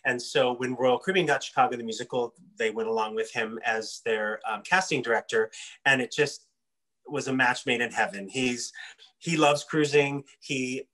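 A man's voice: medium pitch, normal speed, bright, forceful tone, rough recording. A man who works as a casting director, giving thoughtful, explanatory answers in an interview.

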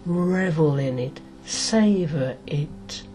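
Very slow, low-voiced woman guiding hypnosis. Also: expressive, mellow, a little hiss or hum. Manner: soothing, deliberate with long pauses between short phrases. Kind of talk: guided hypnosis, meditation.

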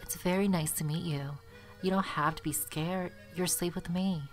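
A woman playing a gentle, kindly grown woman with a soft, reassuring manner in a cartoon dub. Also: lowish voice, steady tone, normal speed, faint music behind, natural voice. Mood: tender. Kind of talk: comic dub cartoon acting.

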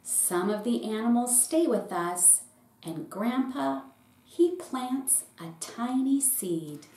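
A middle-aged woman, a pastor, reading a children's picture book aloud in short, deliberate phrases. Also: fairly high pitch, expressive, warm tone. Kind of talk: storybook read-aloud for children. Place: small indoor room with bookshelf. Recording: roomy echo with faint background noise.

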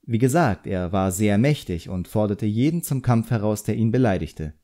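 A man's voice: lowish voice, lively intonation, dark tone, natural voice. Man voicing a composed, frank woman drawing teacher. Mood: instructive. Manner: instructive, measured, pointed.

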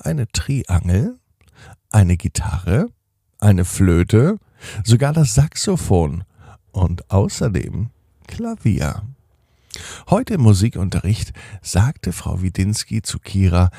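A middle-aged man hosting a children's podcast, telling a bedtime story in a slow, soothing, gently animated way. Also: deep voice, creaky, breathy edge, no echo, clean studio sound.

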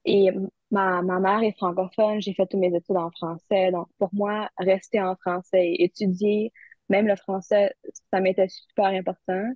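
A woman's voice: medium pitch, little pitch movement, normal speed, no room sound. A young woman student from Ontario chatting about her studies, talking easily and laughing often. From Barrie, Ontario, Canada. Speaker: university student guest. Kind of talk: casual conversation. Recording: dry, phone-like audio.

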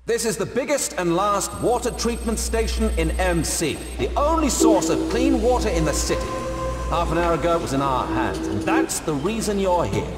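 A man's voice: high voice, very animated, moderate pace. A man playing a squad commander giving a mission briefing in a video game. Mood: urgent, official. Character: brisk male squad commander briefing his men. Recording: echoing hall, music underneath.

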